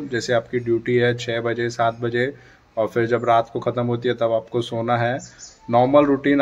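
A man's voice: medium pitch, dull audio. A man in his thirties talking casually to a phone camera indoors, gesturing a lot as he gives advice to his viewers. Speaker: vlogger. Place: dim room indoors by a dark door. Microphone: phone camera at arm's length.

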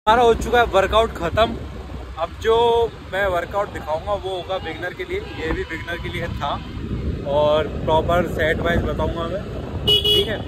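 A man vlogging outdoors on a busy road, casual and blunt, swearing freely as he talks. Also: fairly high pitch. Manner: blunt, swears freely, loud street banter. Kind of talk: casual vlog chat while out on the road. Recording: loud traffic noise outdoors.

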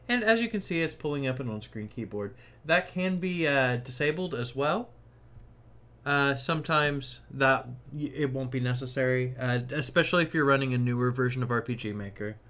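A man's voice: medium pitch, fairly bright tone. A man narrating a casual tech tutorial, explaining things informally step by step.

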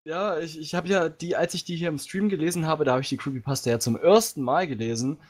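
A man's voice: fairly high pitch, quick pace. A man hosting a live stream in casual, jokey chat.

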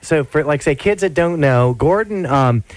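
A man's voice: fairly high pitch, expressive, normal speed, dry recording, poor audio. A male radio host chatting casually and joking with a phone-in guest from a radio studio. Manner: laid-back, joking, fillers and restarts. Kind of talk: casual live radio chat.

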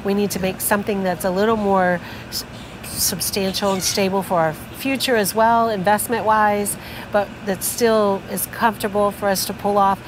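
A woman's voice: medium pitch, steady tone, normal speed. A middle-aged woman co-hosting a vlog, chatting casually and agreeably at an outdoor marina. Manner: agreeable, chimes in with affirmations. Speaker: vlog co-host. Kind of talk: casual chat. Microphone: fixed camera at a few meters. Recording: outdoor noise behind.

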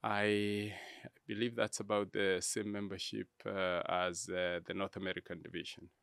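A man with a Kenyan accent answering interview questions in a measured, halting, deliberate way. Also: sits low, flat delivery, creaky, a bit bright, smooth, no echo, studio quality. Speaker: interview guest, church media executive. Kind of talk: interview conversation, reflective answers.

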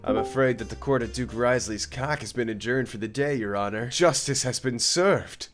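A man's voice: medium pitch, normal speed, faint music behind, close to an everyday voice. A man playing a stuffy, formal judge with a pompous manner in a comedic roleplay skit. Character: stuffy, formal male judge with scandalous secret.

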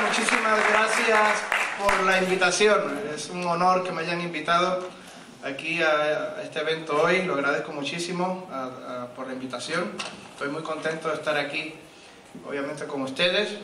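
A man with a Puerto Rican accent giving a guest speech at a political rally, animated, with many hesitations and fillers. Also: on the high side, moderate pace, crowd noise, noisy background. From Puerto Rico and Miami. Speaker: guest speaker. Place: large indoor event hall. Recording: echoey hall, far from mic. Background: audience murmur and laughter.

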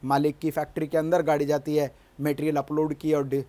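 Business coach, middle-aged man, slightly high voice, emphatic studio delivery on a lapel mic. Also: flat delivery, fairly quick, warm tone. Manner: emphatic, gestures at a screen, asks "है ना?". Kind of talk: motivational business lesson. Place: studio in front of a large screen.

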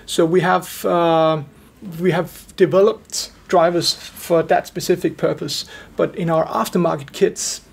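A man in his forties giving measured technical explanations as an expert in an interview. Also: a little high, steady tone, vocal fry, slightly rough. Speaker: expert guest, loudspeaker driver engineer.

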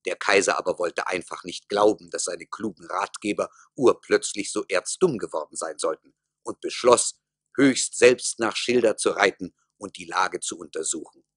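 A man's voice: deep voice, moderate pace, a bit bright, husky, dry recording, studio quality. A man narrating a satirical folk tale as the storyteller in a scripted radio play.

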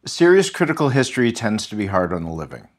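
A man with an American accent, a history professor speaking as an interview guest in a measured, articulate way. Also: on the low side, very animated. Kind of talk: thoughtful interview talk.

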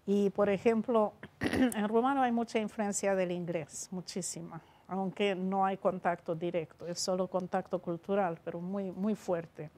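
A woman's voice: mid-range voice, little pitch movement, measured pace, dark tone. Woman in her seventies. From Romania. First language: Romanian. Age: 70s. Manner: thoughtful, with frequent restarts and gestures. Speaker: guest linguist. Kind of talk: panel conversation. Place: seminar room with bookshelves.

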